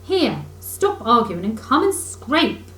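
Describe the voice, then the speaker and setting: Woman as an excitable, emphatic storybook rat. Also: a little high, slow pace, full character voice. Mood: impatient, urgent. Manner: eager, emphatic, bossy. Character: excitable, kindly but impatient male water rat, an animal. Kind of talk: children's storybook character voice. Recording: roomy echo, faint background noise.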